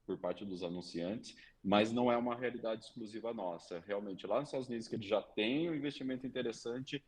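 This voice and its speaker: A man's voice: lowish voice, fairly quick, slightly rough. A man with a Brazilian Portuguese accent, a regular commentator on a podcast, explaining radio market research and often tagging sentences with small fillers. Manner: explanatory, leans on tag questions and fillers.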